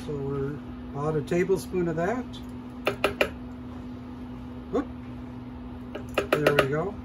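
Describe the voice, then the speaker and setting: A man hosting a cooking show, calmly explaining each step as he cooks in a shop demo kitchen. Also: fairly high pitch, slow, very noisy. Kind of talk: casual cooking demonstration.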